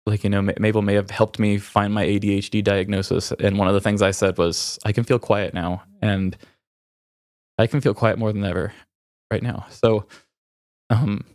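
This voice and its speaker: Male podcast host, low register, raw and reflective, halting delivery through grief, dry recording. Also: flat delivery, darkish tone, no echo. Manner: halting, reflective, emotional, with pauses. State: grieving. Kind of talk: raw, candid personal conversation.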